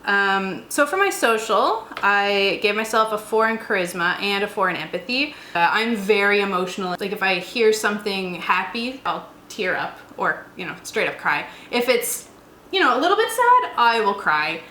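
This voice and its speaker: Bright-voiced woman in an echoey room. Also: medium pitch, animated, moderate pace. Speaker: co-host of a tabletop roleplaying show. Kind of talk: casual chat. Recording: roomy echo, faint background noise.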